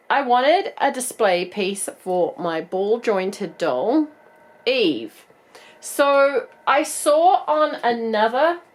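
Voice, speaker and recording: A woman in her thirties with a New Zealand accent, croaky from a cold, chatting bubbly through a casual doll unboxing review. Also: fairly high pitch. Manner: chatty, bubbly, laughs often. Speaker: doll collector vlogger.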